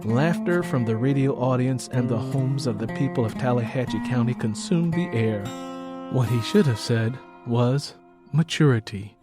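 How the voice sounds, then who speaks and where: A man's voice: medium pitch, moderate pace, loud music behind the voice. A man narrating a story as scripted audiobook prose.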